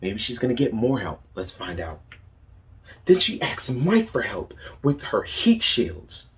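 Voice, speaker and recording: A man's voice: lowish voice, wildly swooping pitch, unhurried. A man reading a picture book aloud to young children as a teacher, asking them questions and praising their answers.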